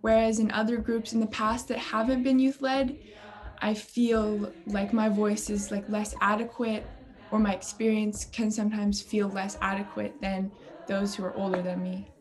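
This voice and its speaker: A teenage high school girl speaking casually and candidly about her activism in an interview. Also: level tone, normal speed, darkish tone. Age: teens. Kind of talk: documentary interview.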